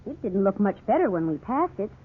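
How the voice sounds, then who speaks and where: A woman's voice: medium pitch, sing-song, fairly quick, breathy edge. An actress playing an eager, delighted young wife and mother in a 1950s radio drama, gushing with wide-eyed enthusiasm. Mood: mild, curious. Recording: tinny, hissy 1950s radio recording.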